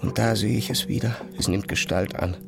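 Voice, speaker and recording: An anxious dreamer, set back under music. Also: male voice, on the low side, fairly even intonation, brisk, gravelly, distant mic. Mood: rapt, intense. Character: anxious physicist, dreaming, half-entranced inner voice. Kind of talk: literary audio drama, present-tense stream of consciousness.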